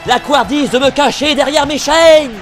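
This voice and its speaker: Man playing a very high-voiced, effeminate young knight. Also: normal speed, faint music behind. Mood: defiant. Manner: melodramatic, earnest. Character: effeminate, indignant young male anime knight with chains. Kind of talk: parody anime dub.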